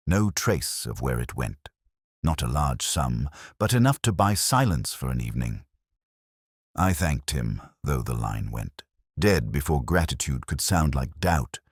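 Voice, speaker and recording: Male narrator for literary detective fiction: very deep, husky, brooding and measured, recorded dry and close. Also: no room sound. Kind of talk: scripted first-person detective story narration.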